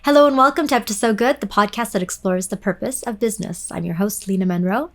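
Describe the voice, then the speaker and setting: A woman's voice: medium pitch, fast talker. Podcast host: woman, friendly and eager, mid-range voice, repeats words as she restarts. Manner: friendly, enthusiastic, with stammered restarts. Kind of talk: podcast interview hosting.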